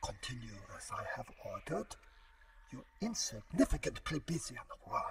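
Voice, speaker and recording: A man's voice: medium pitch, big pitch swings, measured pace, faint music behind. A man playing a haughty, commanding, contemptuous evil alien ruler in a comedic sci-fi audio drama. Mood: menacing command.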